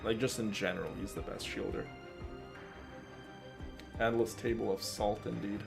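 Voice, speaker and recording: Low-voiced man casually commentating gameplay. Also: fairly even intonation, normal speed, creaky, over music. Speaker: game streamer.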